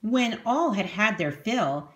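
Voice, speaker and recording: A woman reads a children's picture book aloud like a classroom teacher. Her pitch moves in wide, animated swings as she narrates expressively. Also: slow pace.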